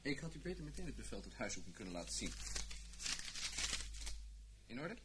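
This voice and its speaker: An actor playing a cunning, smoothly polite fraud detective in a Dutch radio play. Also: male voice, mid-range voice, sing-song, a little fast, close to an everyday voice. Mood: smugly polite. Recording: noisy old radio play recording, clicks.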